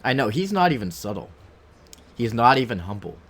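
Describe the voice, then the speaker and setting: A man's voice: mid-range voice, sing-song, brisk, a bit bright. A man giving casual, joking, sometimes profane commentary on a video game stream. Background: game music.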